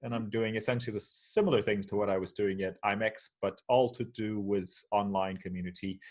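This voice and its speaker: A man's voice: on the low side, brisk. Man from Portugal, chatty and rambling. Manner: relaxed, rambling with many fillers and restarts. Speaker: guest. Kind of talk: casual video call chat. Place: shared office space. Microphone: video call.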